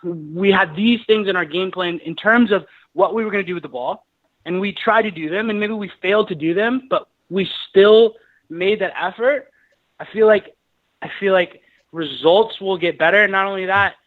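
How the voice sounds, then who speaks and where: A man's voice: high-pitched, normal speed, dry recording. A man in his thirties calling in to a radio show, talking earnestly with many restarts and fillers. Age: 30s. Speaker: caller. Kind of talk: call-in radio talk. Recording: dull phone-line call audio.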